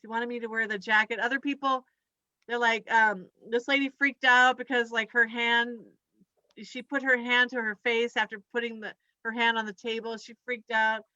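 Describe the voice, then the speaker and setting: A woman doing a conversational stand-up comedy set in a halting, scattered, giggly way. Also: a little high, flat delivery, sharp tone, no echo, muffled recording. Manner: halting and scattered, giggles through own remarks.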